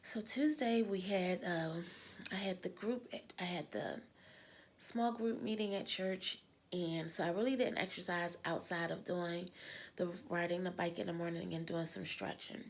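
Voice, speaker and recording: Husky-voiced woman rambling in a vlog. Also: on the low side, steady tone, measured pace. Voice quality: husky smoker's-like voice. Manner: rambling, repeats words, sighs often. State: coming down with a cold. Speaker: vlogger. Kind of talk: casual personal vlog talk.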